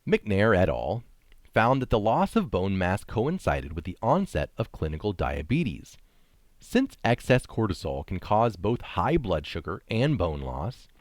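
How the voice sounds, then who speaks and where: A man's voice: low-pitched, no room sound. A man reading an article aloud with casual asides, speaking emphatically and posing rhetorical questions. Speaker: reader of articles.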